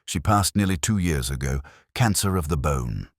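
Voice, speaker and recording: A stiff, respectful housekeeper read by a man in an audiobook. The voice is low and soft, recorded dry and close, with formal, guarded phrasing. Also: animated, moderate pace, husky, no echo, exaggerated voice. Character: deferential, stiff, stony-faced female housekeeper. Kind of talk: audiobook character dialogue.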